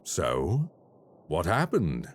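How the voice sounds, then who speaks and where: A man playing a very deep, slow crime boss. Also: huge pitch swings, husky, full character voice. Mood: probing, cool. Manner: slow, measured, formally menacing. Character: cold, calculating male crime boss. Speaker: voice actor in an audio roleplay. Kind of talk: audio roleplay acting.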